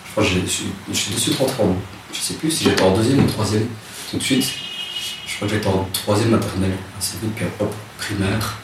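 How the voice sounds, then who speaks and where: A man, telling his life story in a relaxed interview, hesitant and soft-spoken with many restarts. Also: on the low side, brisk. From Rwanda. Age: 30s. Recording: echoey room, distant mic, noisy.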